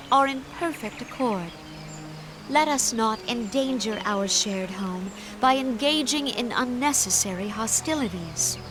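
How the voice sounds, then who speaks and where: A gentle, dignified stateswoman of a fantasy forest realm in a video game. Her voice sits a little high, and she speaks with measured, courtly formality and earnest care. Loud music plays behind her. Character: gentle, dignified female leader of a forest nation. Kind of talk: scripted video game voice acting.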